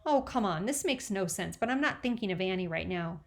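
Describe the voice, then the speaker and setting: A woman's voice: medium pitch, expressive, brisk, natural voice. A woman voicing an awkward, well-meaning young teenage boy, earnest and a little flustered.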